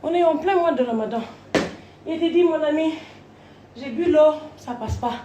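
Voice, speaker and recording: A woman's voice: high voice, normal speed, muffled recording, background noise. A woman from Côte d'Ivoire ranting in a rambling, crude way, swearing as she tells a long personal story. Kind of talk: rambling live rant. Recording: roomy echo.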